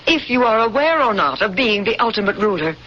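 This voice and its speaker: An actress playing a calm, secretive woman official who insists on a prophecy, in a 1970s radio drama. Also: mid-range voice, brisk, slight background noise, natural voice. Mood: solemn. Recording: dull lo-fi old radio broadcast.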